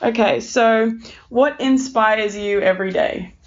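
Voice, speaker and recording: A woman's voice: fairly high pitch, a little slow. Young woman, slightly muffled interview audio. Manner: agreeable, giggly, informal. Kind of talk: casual interview chat. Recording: slightly dull, muffled sound.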